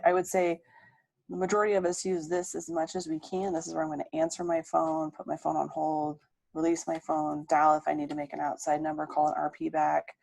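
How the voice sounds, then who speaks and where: A woman's voice: low voice, creaky, darkish tone, low-quality recording. A woman dispatcher explaining the job and workstation in a conversational, matter-of-fact way. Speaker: emergency dispatcher.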